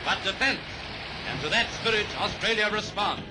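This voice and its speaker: A British man narrating a 1930s newsreel in a brisk, declamatory, jaunty announcer's manner. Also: sits high, animated, low-quality recording. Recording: vintage 1930s newsreel soundtrack, music underneath. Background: music.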